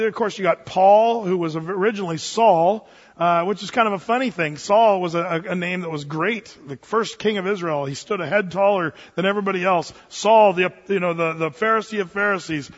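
High-voiced pastor in an echoing hall. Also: male voice, brisk. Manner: conversational, joking asides, acts out quoted voices. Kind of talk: sermon. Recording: dull sound with long hall reverb.

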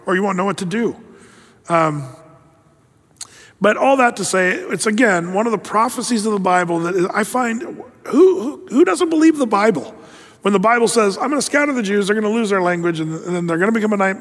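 A man with a high voice preaches in a conversational style, stopping often to restart a phrase. He reads scripture aloud between his own comments. His voice carries a long reverb, as in a large hall. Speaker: pastor. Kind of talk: verse-by-verse sermon.

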